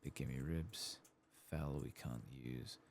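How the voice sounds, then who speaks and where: A soft-spoken man giving casual ASMR game commentary, muttering as he thinks aloud, close to the microphone. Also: rumbling low, slow, vocal fry, a little hiss or hum. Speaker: host of a gameplay channel.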